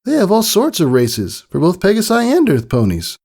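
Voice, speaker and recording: A man voicing a plucky young filly pegasus in a storybook reading, excitable and earnest. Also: high voice, moderate pace, gravelly, pitched above the performer's own voice, heavily acted. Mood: excited, eager.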